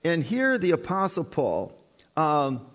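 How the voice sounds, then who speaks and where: A man's voice: a little high, big pitch swings, takes it slowly. A man preaching a sermon in a conversational way, often putting questions to the congregation. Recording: reverberant church hall.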